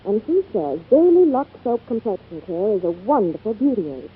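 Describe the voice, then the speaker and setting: Female vintage radio ad reporter, gushing, animated delivery, tinny narrow-band broadcast. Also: mid-range voice, moderate pace, slightly rough. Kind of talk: scripted 1940s radio advertising.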